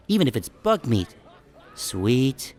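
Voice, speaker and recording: Audiobook lead: wry, deadpan young sheep narrator male actor, self-conscious, conversational, mid pitch. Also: slow pace. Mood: wry, musing. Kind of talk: first-person audiobook narration.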